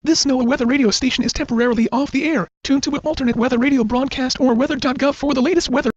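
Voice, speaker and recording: A woman's voice: high-pitched, steady tone, rapid-fire, a little mellow, dry recording, lo-fi sound. A synthetic text-to-speech woman's voice reading a scripted emergency announcement on weather radio.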